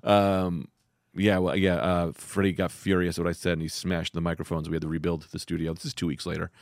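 Host, young man, rapid animated delivery, laughs mid-story, dry booth. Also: low voice, dry recording. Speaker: podcast host. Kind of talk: casual podcast banter. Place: podcast studio. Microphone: studio mic.

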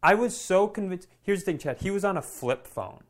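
A man with a slightly high voice chats as a comedy podcast co-host. He tells stories in an animated, self-deprecating way and swears freely as he goes. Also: fairly quick. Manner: animated storytelling with profanity. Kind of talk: casual anecdotal chat.

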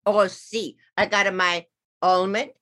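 A woman's voice: mid-range voice, steady tone, slow pace, sharp tone, no echo, studio quality. A woman voicing a chatty, jovial male squirrel with a put-on Italian accent and a theatrical, sing-song delivery. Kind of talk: comedic character call-in.

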